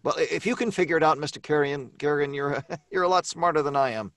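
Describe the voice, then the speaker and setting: A man's voice: on the high side, quick pace, fairly bright tone, no echo, lightly acted. Man acting a hesitant, weary radio-mystery novelist, close and dry. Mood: weary, self-deprecating. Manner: hesitant, stammering, self-deprecating. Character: nervous, weary, eccentric male mystery novelist.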